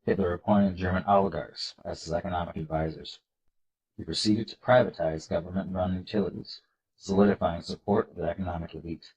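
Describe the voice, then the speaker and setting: A man with a congested, nasal voice from a cold, hosting a video and reading slides aloud in an earnest, measured way. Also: low voice, fairly even intonation, a little mellow, muffled recording. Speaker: political video host.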